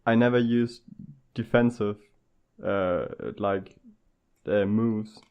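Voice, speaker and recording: A man's voice: lowish voice, fairly even intonation, takes it slowly, clear, resonant tone, highs cut off. A man co-hosting a podcast, chatting casually and chipping in with questions and quips. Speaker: podcast co-host.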